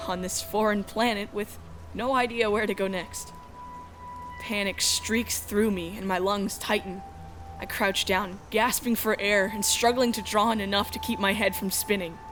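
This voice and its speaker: A woman's voice: mid-range voice, natural voice. A woman acting a young runaway heroine in a space adventure fan dub, anguished and defiant, with dramatic, emotional delivery. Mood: panicked, lost. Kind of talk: dramatic first-person narration of a visual novel. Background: music.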